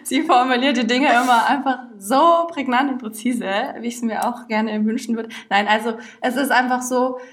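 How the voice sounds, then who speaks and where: Bubbly woman late 20s, podcast chat, slightly high voice, giggles easily. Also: fairly even intonation, moderate pace, few pauses. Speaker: podcast co-host. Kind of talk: casual chat.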